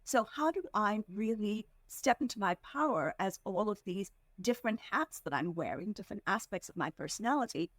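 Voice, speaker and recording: A woman from India speaks as a podcast guest in an earnest, measured way, slowing on the words she wants to land. Her voice sits at a middle pitch. The recording is dry and close, with no room sound. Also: no room sound. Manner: measured, earnest, slows down to stress points. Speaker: podcast guest, fundraising executive. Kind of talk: reflective interview answers.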